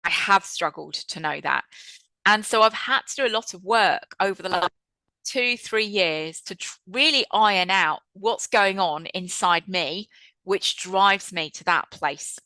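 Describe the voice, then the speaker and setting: A woman in her fifties hosting a webinar over a video call, talking in a chatty, lively and reflective way. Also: mid-range voice, unhurried, no room sound, poor audio. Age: 50s. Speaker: webinar host and trainer. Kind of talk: presenting a webinar.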